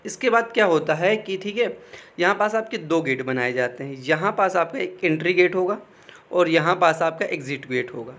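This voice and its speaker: Young man, slightly high voice, informal tutorial style, conversational, frequent check-ins. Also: lively intonation, normal speed, a bit bright. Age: young adult. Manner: conversational, repeatedly checks in with "okay". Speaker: exam guidance YouTuber. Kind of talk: informal explainer to students.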